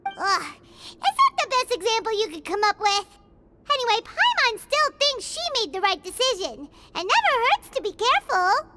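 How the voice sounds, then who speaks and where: A woman voicing a small, childlike fairy companion in a video game, excitable, whiny and stubborn, with a squeaky voice. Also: normal speed, heavy background noise. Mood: defensive, huffy. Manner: speaks of self in third person, exclaiming.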